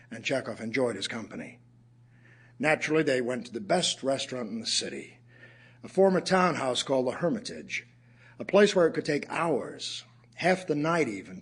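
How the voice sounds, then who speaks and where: An actor playing a weary, wry male writer, reciting poems and prose as a measured, literary storyteller in an audio play. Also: mid-range voice, husky.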